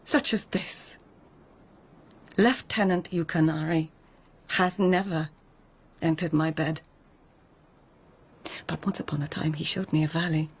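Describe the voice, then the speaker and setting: Breathy, hushed Japanese court lady. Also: deep voice, fairly even intonation, full character voice. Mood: wry, poetic. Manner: measured, courtly, pointed. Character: proud, witty court lady of tenth-century Japan, loyal companion to an Empress. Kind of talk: radio drama acting, poetic formal speech.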